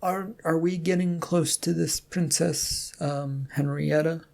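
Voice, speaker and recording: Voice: mid-range voice, steady tone, slow, creaky, darkish tone, voice pushed up. A storybook narrator voicing an awkward male knight with a hesitant, stammering manner. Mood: uncertain. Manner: stammering, unsure.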